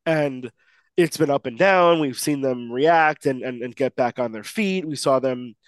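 A man's voice: medium pitch, a little slow, no room sound. A man co-hosting a sports podcast who is losing his voice and sounds a little nasal, talking emphatically with lots of restarts. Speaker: podcast co-host. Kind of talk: excited sports podcast chat.

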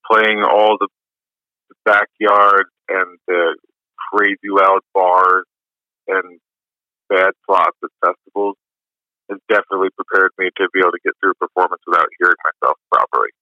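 A man with a low voice, giving easygoing, rambling interview answers full of fillers. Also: little pitch movement, moderate pace, no echo. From New York. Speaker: musician guest. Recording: dull lo-fi dry sound.

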